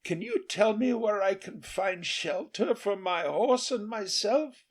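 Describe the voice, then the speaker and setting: A courteous, regal storybook king as a male narrator plays him, speaking in formal, stately phrases. His pitch sits high and swings widely, with a good-humoured, noble temper. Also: animated, slow pace, heavily acted.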